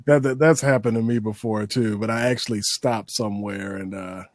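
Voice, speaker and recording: A man's voice: on the low side, fairly even intonation, moderate pace, dry recording. A man co-hosting an interview who asks questions casually and chats about his own similar experiences. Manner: chatty, tells his own anecdotes. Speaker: show co-host.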